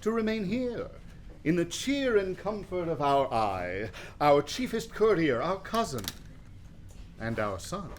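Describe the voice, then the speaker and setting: Middle-aged king, radio Shakespeare: courtly, persuasive, high for a man, very lively intonation. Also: a little slow, a little hiss or hum. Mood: courtly, coaxing. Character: smooth-talking regal middle-aged king, Hamlet's uncle Claudius. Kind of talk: Shakespearean radio play acting.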